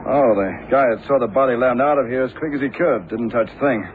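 Impatient working cop played by a male actor, medium pitch, practical, on a noisy, tinny old radio recording. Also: fairly quick, close to an everyday voice. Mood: businesslike. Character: impatient, practical male police officer Neil. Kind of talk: 1970s radio crime drama acting.

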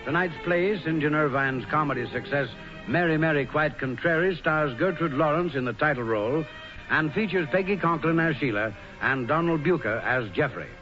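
A man's voice: fairly high pitch, expressive, normal speed, fairly bright tone. An American man announcing formally in the style of 1940s radio. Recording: muffled 1940s radio recording. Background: music.

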